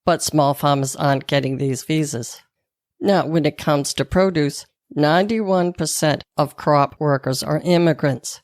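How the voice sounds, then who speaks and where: A woman's voice: sits low, a little slow, darkish tone, no room sound, clean studio sound. A woman with a Boston accent hosting a podcast solo, reading scripted narration. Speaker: podcast host and health coach.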